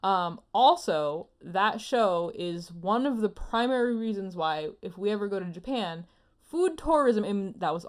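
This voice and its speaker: A woman's voice: mid-range voice, lively intonation, unhurried. Effusive woman chatting casually. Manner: effusive, emphatic, rambling. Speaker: podcast co-host. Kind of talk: casual opinionated chat.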